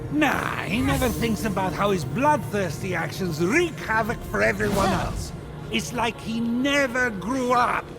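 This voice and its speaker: A man's voice: high voice, lively intonation, unhurried, vocal fry, music in the background, exaggerated voice. An actor playing a petty, vain, flippant father god who complains breezily and sarcastically in comic video game banter. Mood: griping, flippant.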